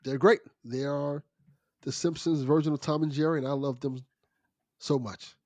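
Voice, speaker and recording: Higher-voiced man guesting on a podcast. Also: steady tone, moderate pace, a little mellow, dry recording. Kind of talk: casual podcast chat.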